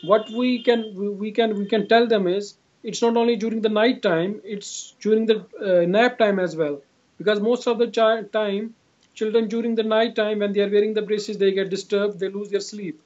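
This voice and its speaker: A man's voice: sits high, steady tone, moderate pace, darkish tone. A man in his forties with an Indian accent, explaining his work in an interview and often repeating himself. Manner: repetitive, often says "you know". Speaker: clinician being interviewed. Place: indoor room, video call. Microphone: video call device at arm's length. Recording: dull, lo-fi video call audio.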